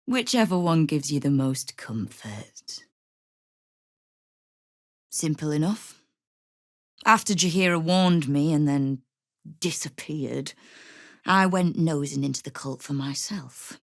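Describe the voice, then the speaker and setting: Female underworld boss, low pitch, dry close recording, wry, commanding, hard-bitten, video game dialogue. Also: a little mellow, no room sound, exaggerated voice. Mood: wry, businesslike.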